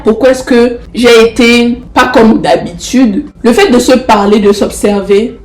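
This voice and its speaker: Roomy-sounding woman with a stuffy flu voice. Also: on the high side. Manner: affectionate, coaxing, repeats herself for emphasis. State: has the flu, stuffy nose. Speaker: motivational vlogger. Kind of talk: casual motivational talk to camera. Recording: roomy echo.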